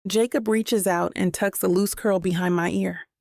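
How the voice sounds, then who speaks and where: Woman, audiobook narrator, scripted romance storytelling, creaky texture, mid pitch, dry recording. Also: steady tone, moderate pace, a little mellow, no echo.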